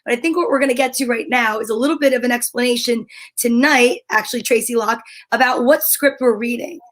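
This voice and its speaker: A woman's voice: fairly high pitch, fairly quick. A woman cohosting a casual video call show, teasing and playful as she talks. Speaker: host.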